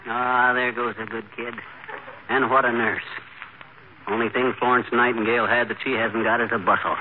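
Guy playing a loudmouth bumbling hubby playing sick, brash wisecracks, hissy tinny old radio sitcom. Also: medium pitch, level tone, normal speed, close to an everyday voice. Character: boastful bumbling middle-aged husband playing sick. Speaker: lead of a radio comedy. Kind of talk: 1940s radio sitcom acting.

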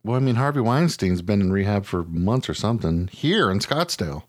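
Low-voiced American man, casual podcast chat. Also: brisk, no room sound, studio quality. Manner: blunt, profane, animated storytelling with mimicked quotes. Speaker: podcast co-host.

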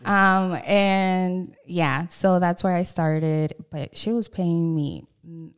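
A woman's voice: lowish voice, fairly even intonation, takes it slowly, clear, resonant tone. A woman in her thirties from El Salvador with a Salvadoran Spanish accent, chatting candidly as a podcast guest. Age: 30s. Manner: candid, chatty, laughs often. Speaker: guest, loan officer. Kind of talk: casual podcast chat.

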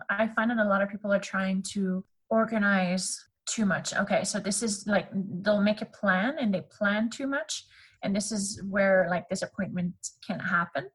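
A woman in her thirties speaks English with a French accent; French is her first language. She talks as a podcast guest in a husky, slightly breathy voice. Her delivery is chatty and easygoing, full of fillers. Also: mid-range voice, low-quality recording. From Canada. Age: 30s. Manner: chatty, many fillers, laughs easily. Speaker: podcast guest, graphic designer. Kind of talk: casual interview chat.

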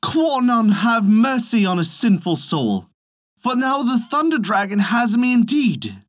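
A storyteller voicing a poor, pious, excitable peasant man who exclaims in old-fashioned storybook phrases. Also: mid-range voice, measured pace, no echo, studio quality, pitched above the performer's own voice. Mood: frightened. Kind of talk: storybook reading.

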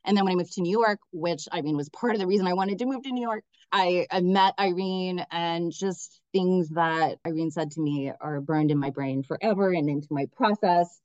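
A woman's voice: on the low side, moderate pace, few pauses, a little mellow, no room sound, muffled recording. A middle-aged woman from Miami, a theater director speaking enthusiastically as a podcast guest, often restarting her sentences. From Miami, United States. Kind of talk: podcast interview.

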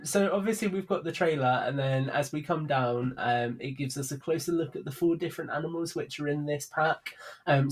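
A man speaking as a podcast guest, explaining things in casual, enthusiastic, informal chat. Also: medium pitch.

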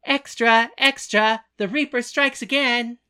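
A woman's voice: on the high side, steady tone, measured pace, sharp tone, clean tone. A woman voicing an eager young girl who plays a boy newspaper seller in a stage play, proclaiming her lines. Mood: crying out.

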